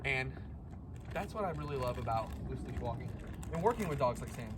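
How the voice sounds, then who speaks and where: A man coaching a dog on a busy city street, his loud voice carrying over the traffic. He keeps up a steady flow of explanation to the dog's owners and breaks it with quick praise for the dog. The camera sits at a distance, so his voice sounds far off against the roar of passing cars. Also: medium pitch. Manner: loud, encouraging, talks to the dog between explanations. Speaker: dog trainer. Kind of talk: casual instructional talk while training a dog. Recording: outdoor street recording from a distance. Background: street noise and passing cars.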